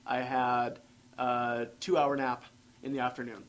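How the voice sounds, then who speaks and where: A man's voice: mid-range voice, little pitch movement, a little slow. A tired man, co-hosting a casual podcast and rambling about long work shifts. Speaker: podcast co-host. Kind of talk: casual chat.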